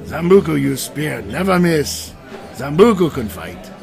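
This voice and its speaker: A man's voice: a little high, very animated, measured pace, vocal fry, darkish tone, full character voice. An actor playing a fierce, boastful shaman warrior who speaks in terse, broken, emphatic phrases in a comic fantasy audio drama. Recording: music playing behind the voice.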